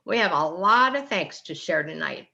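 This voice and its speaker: A woman's voice: fairly low pitch, expressive, moderate pace, gravelly, bright, forceful tone, lo-fi sound. A woman co-hosting a community event, reading prepared welcome remarks and thanking everyone. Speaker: event co-chair and host. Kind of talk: scripted welcome speech.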